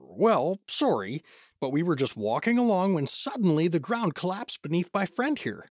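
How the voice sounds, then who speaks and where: Hapless male everyman for audio adventure, man actor: husky voice, lively jumping pitch, folksy, polite, flustered. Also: moderate pace, no echo, studio quality. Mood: apologetic, flustered. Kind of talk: fantasy audio drama acting.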